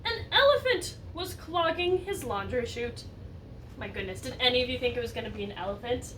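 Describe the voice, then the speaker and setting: A woman reading a picture story aloud like a teacher, animated and chatting to young listeners with questions. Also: a little high, a little fast. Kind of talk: expressive read-aloud story narration with asides to children. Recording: distant mic, roomy background noise.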